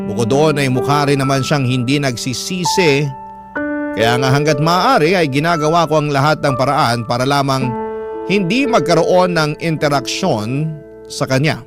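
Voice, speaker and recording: Guy narrating a radio love story, voice a bit high, music playing clearly underneath. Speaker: radio drama host and narrator.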